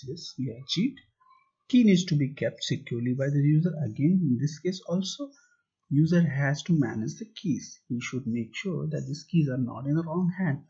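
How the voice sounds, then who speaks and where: A man's voice: a little high, warm tone, slightly rough. A man teaching a tutorial over slides and a screen demo, explaining step by step and asking and answering his own questions. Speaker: tutorial instructor. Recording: muffled lo-fi recording.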